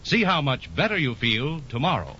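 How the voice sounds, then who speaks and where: A man's voice: on the high side, big pitch swings, moderate pace. A man reading a scripted 1940s radio commercial as an announcer, with an emphatic, polished sales delivery. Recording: muffled 1940s radio recording, faint noise.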